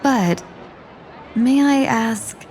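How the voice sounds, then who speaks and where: A woman's voice: fairly high pitch, extremely slow, darkish tone, faint music behind, a faint crowd. A woman playing a young envoy in a video game, with formal, theatrical, composed delivery. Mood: composed, wry. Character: young female merchant-guild envoy posing as deadly assassin.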